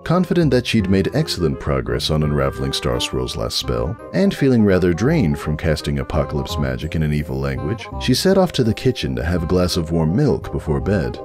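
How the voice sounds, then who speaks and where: A man's voice: very deep voice, wildly swooping pitch, fairly quick, few pauses, music in the background. A man reading a scripted comic story as narrator in a wry, dramatic way. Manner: wry, theatrical. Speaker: narrator, fanfiction reader.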